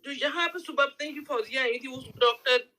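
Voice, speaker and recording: A woman's voice: sits high, brisk, sharp tone, low-quality recording. A woman with a Pakistani accent in a live group discussion, insistently airing her complaint in casual, repetitive speech. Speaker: political discussion participant.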